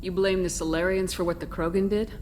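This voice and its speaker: A woman's voice: lowish voice, flat delivery, fairly quick, darkish tone, slight background noise, lightly acted. A woman playing a composed, direct female military commander in a video game, asking questions in a matter-of-fact way.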